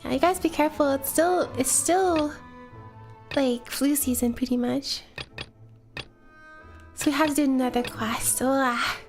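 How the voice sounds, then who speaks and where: Woman streamer, stuffy from a cold, high voice, casual chatter to viewers. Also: steady tone, unhurried, pauses often, faint music behind. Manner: chatty, talks to viewers between game lines. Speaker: streamer playing a game. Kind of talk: casual live-stream chat.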